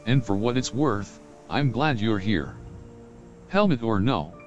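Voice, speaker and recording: A man voicing a kind young axe-wielding warrior in a fantasy game, gentle and sincere. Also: on the low side, lively intonation, measured pace, muffled recording, soft background music. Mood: warm, sincere. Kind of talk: dramatic video game dubbing.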